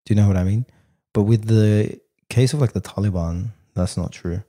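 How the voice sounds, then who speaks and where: A man co-hosting a podcast, arguing his points in casual, animated debate and often saying "bro". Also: lowish voice, moderate pace. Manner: animated, argumentative, says "bro" a lot. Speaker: podcast co-host.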